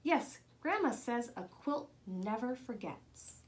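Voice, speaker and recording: A woman's voice: on the high side, animated, slow. A woman voicing a happy, curious young girl from a children's storybook in an eager way. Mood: happy, sure.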